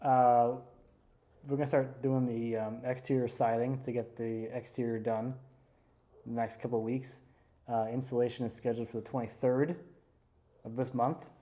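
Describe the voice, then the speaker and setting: A man talks through his projects in a loose, joking way, circling back and restarting his sentences as he goes. His voice is mellow and dark, and the tone stays relaxed and conversational. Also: mid-range voice, normal speed. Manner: easygoing, joking, rambling with restarts. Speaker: woodworker and show host. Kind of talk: casual chat.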